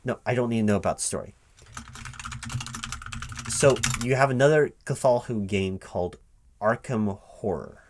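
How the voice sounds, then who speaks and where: A man playing a recruiting interviewer in a one-sided spoken ASMR roleplay, halting and repeating his words in a baffled, exasperated way. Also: on the low side, darkish tone, slight background noise. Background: computer keyboard typing.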